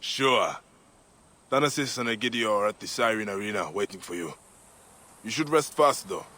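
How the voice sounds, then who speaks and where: An actor playing a steadfast, compassionate protector from ancient times, in a dramatic video game performance. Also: male voice, on the low side, animated, normal speed, bright, forceful tone. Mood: direct.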